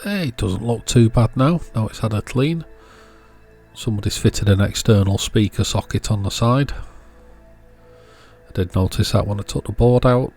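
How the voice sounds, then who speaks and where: A man's voice: on the low side, normal speed, a little mellow, faint music behind. A man hosting a repair video, giving casual hands-on commentary and thinking aloud as he works. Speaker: host of an electronics repair channel.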